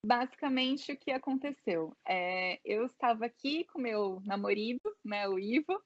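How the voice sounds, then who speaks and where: A Brazilian woman with a Brazilian Portuguese accent tells her story in a casual interview, and she fills her talk with little asides. Her voice sits a bit high. The recording is muffled and dry. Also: animated, normal speed, no echo. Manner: chatty, many fillers like "né" and "é". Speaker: interview guest.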